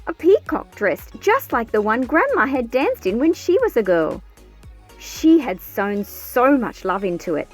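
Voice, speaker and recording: A woman narrates a picture book in a fairly high, very animated voice. She tells the story expressively, in a measured scripted read-aloud style. Soft music plays behind her. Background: background music.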